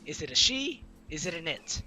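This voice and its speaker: A man's voice: fairly high pitch, very animated, moderate pace. A man hosting a let's play, reading a visual novel aloud with casual asides and a giggly manner. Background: game music.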